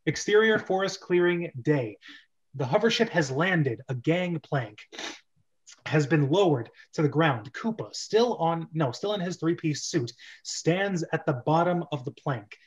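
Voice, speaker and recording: Slightly high-voiced man hosting, dull lo-fi sound. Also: lively intonation, unhurried. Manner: chatty, joking, self-interrupting asides. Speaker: host and script reader. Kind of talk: casual group chat and stage-direction reading.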